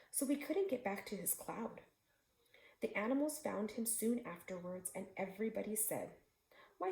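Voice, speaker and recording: Woman reading as an earnest old storybook cat, animated. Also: medium pitch, vocal fry, echoey room, rough recording. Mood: patient, earnest. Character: old female cat, patient, kindly storyteller.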